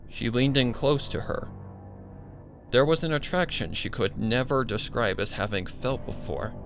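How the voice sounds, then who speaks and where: A man narrating a scripted horror story in a grave, measured, dramatic way. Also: mid-range voice, a bit bright. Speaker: fan fiction narrator. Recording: music bed behind the voice. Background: music.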